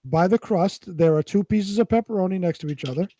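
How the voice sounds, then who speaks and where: A man's voice: high voice, brisk, mellow, dry recording. A man co-hosting a comedy talk show, explaining things in casual, crude banter.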